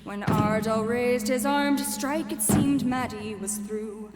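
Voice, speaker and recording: A woman's voice: fairly high pitch, takes it slowly. A woman performing as a ballad singer in a fantasy audio drama, singing a bold story-song. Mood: storytelling in song. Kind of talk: sung narrative folk ballad. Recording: echoey hall, loud music behind.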